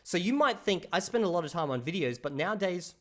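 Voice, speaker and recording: Animated swearing man vlogging. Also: high voice, quick pace. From Australia. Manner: animated, swears freely, says "bro" and "okay?" often. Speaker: language-learning YouTuber. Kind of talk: casual talking-to-camera vlog.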